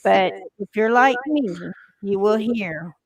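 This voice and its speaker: Brief: woman host, unhurried, gushing and chatty, dry close sound. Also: medium pitch, dry recording. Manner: chatty, gushing, laughs often, trails off mid-sentence. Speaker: podcast host interviewing a band. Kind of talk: casual live-stream interview chat.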